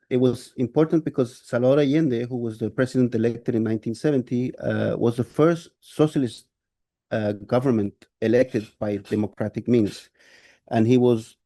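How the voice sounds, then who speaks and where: 50s guy from chile, super dark mellow voice, dry sound, keeps restarting his sentences. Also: medium pitch, dry recording. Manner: thoughtful, many restarts and fillers. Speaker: guest artist. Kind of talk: interview answer.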